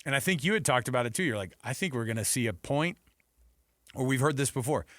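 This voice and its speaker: A man's voice: medium pitch, lively intonation, fast talker, a bit bright, no echo. American man, casual sports radio host, dry sound.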